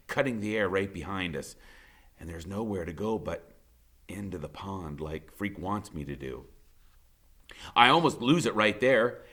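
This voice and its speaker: A man's voice: sits low, a bit bright. A man reading a novel aloud as dramatic first-person narration, emphatic and suspenseful.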